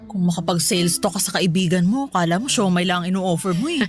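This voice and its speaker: A woman's voice: lowish voice, fast talker, soft background music, lightly acted. A Filipino actress playing a sassy, skeptical young woman with a wry, teasing way in a radio drama. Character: sassy, skeptical young female church friend.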